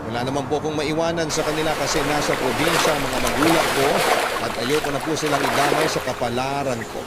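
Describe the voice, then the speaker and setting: A man's voice: mid-range voice, fairly even intonation, fast talker, heavy background noise. A man with a Manila Filipino accent reading a scripted first-person story as a radio drama narrator. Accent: Filipino, Manila area. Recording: loud music bed behind voice.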